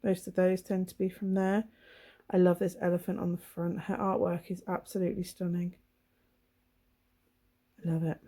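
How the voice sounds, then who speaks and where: Hoarse, husky woman chatting casually. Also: on the low side, flat delivery, normal speed, long pauses, warm tone. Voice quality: husky, throaty. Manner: chatty and apologetic, many "um"s. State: hoarse from laryngitis. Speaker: tarot channel host. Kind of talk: casual deck flip-through vlog.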